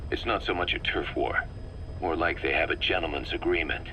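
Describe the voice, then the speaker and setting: A man playing a hardened, wry mercenary officer giving a deliberate, emphatic briefing in a video game. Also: low-pitched, pushed and bright, slight background noise. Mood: businesslike, warning.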